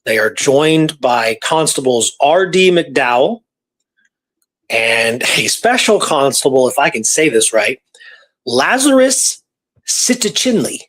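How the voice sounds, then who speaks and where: A man co-hosting a radio show, telling a historical story informally, with halting delivery and phrases repeated for emphasis. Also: mid-range voice, very animated, a bit bright.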